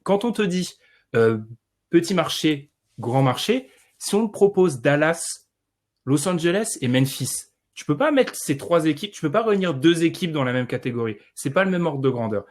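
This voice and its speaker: A man's voice: fairly high pitch, expressive, moderate pace. A man co-hosting a podcast discussion, explaining points in a confident, wry way. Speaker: podcast co-host.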